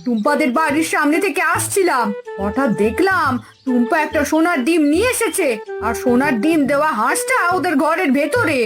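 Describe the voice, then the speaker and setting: A woman's voice: high-pitched, lively intonation, brisk. Woman playing a sly, greedy fishwife. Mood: bossy. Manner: sly, conspiratorial, bossy. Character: scheming greedy fishwife, middle-aged. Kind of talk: cartoon voice acting. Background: background music.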